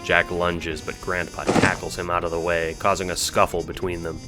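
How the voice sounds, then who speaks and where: Deep-voiced man narrating an audio drama. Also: flat delivery, normal speed, sharp tone, soft background music. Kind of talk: dramatic scripted second-person narration.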